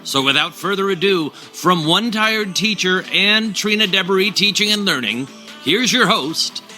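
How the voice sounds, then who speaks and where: A man's voice: sits high, expressive, measured pace, bright, forceful tone. A man announcing a scripted podcast intro with grandiose, playfully theatrical delivery. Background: music.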